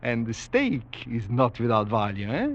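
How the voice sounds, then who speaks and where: An actor playing a courtly, menacing aristocratic general who hunts men for sport, in 1940s radio drama style. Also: male voice, medium pitch, wildly swooping pitch, a little slow, no echo. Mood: relishing, courtly. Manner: courtly, menacing politeness with soft chuckles. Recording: dull vintage 1940s radio recording.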